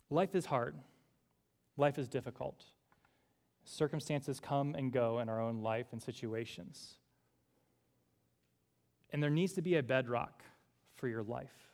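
A male pastor preaching a sermon to a congregation in a church room, earnest and building through repeated phrases. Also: mid-range voice.

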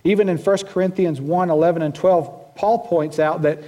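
A middle-aged man with a Southern US accent preaches from the pulpit, his voice sitting a little high for a man. He talks in a folksy, self-deprecating way, often restarting his sentences as he goes. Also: fairly even intonation, moderate pace, warm tone. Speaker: pastor. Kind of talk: sermon.

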